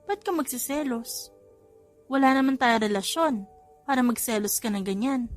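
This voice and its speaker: Audiobook heroine: feisty, bashful young Filipino mom, done by a female narrator, higher-pitched, music underneath. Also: moderate pace, natural voice. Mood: defensive.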